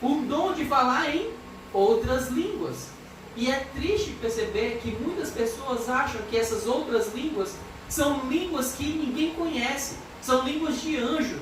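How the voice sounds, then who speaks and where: A woman's voice: high-pitched, lively intonation, unhurried. A woman with a Brazilian Portuguese accent preaching an expository sermon, earnest and emphatic, reading scripture aloud. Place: church hall. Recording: echoey church hall from far off, background noise.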